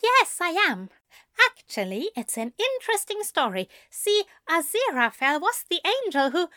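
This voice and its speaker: A woman's voice: extremely high pitch, very animated, moderate pace, pushed and bright, no room sound, studio quality, exaggerated voice. A woman playing a fussy, indignant, reluctant male exercise pupil in an audio fiction reading, with a prim, protesting manner. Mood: eager, stalling.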